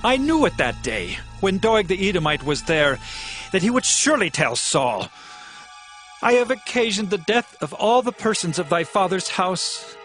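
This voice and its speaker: High-voiced earnest outlaw leader. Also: male voice, very animated, normal speed, a bit bright, soft background music. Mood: earnest resolve. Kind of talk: dramatized audio Bible acting.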